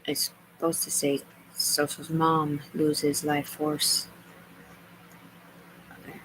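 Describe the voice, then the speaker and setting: A woman's voice: low voice, monotone, slow, a bit bright, slight background noise, low-quality recording. A woman livestreaming, rambling as she reads aloud and makes asides to herself and her viewers. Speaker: live streamer.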